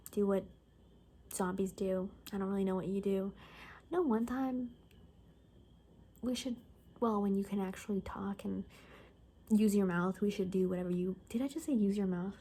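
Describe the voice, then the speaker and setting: A woman playing a chatty, gossipy friend in an apocalypse ASMR roleplay, soft-spoken and breathy, often slipping into whispers, with casual rambling speech. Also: mid-range voice. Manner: rambling, casual, gossipy, full of filler words.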